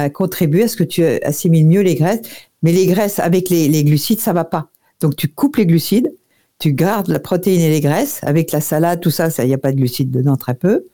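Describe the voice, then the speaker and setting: Low mellow woman giving nutrition advice. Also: normal speed. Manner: direct, familiar, instructive. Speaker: nutrition expert guest. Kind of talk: advice on a radio show.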